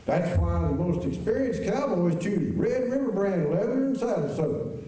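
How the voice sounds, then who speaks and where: A very reverberant hall surrounds this man reading an old-time radio advertisement. His voice is high for a man and quite dark and soft in tone, heard from some way off. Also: expressive, normal speed. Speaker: radio commercial announcer. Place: high school auditorium stage. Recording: very echoey auditorium, distant, faint noise.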